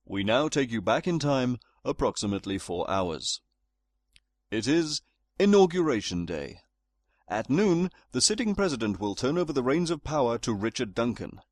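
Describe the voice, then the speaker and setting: A man's voice: medium pitch, big pitch swings, normal speed, no echo. A man playing a very proper British narrator in a comedy audio drama, dryly pompous and wordy. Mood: storytelling.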